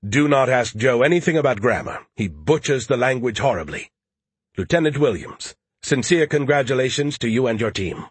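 A man's voice: medium pitch, expressive, moderate pace, husky, no room sound. A man voicing a smug, condescending male artificial intelligence in a pompous, mocking, theatrical way for a story. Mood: mock congratulatory.

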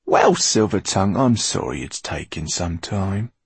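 A mocking storybook henchman, acted by a male narrator, gloats in a silky, menacing way. The voice is husky and creaky, pitched up from the narration. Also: measured pace, dry recording. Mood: mock apologetic. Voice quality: soft, silky. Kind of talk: audiobook character acting.

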